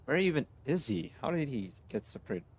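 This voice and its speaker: A man's voice: mid-range voice, big pitch swings, brisk, husky. A man live streaming casual gaming reactions, informal and often muttering to himself. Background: game music.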